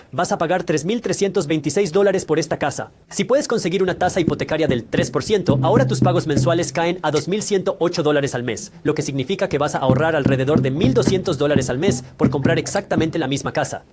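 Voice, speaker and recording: Man, slightly high voice, rapid pace, didactic explainer host, scripted narration, step-by-step delivery. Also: darkish tone, a little hiss or hum. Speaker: host of a personal finance explainer. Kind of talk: scripted educational narration, addressing the viewer directly.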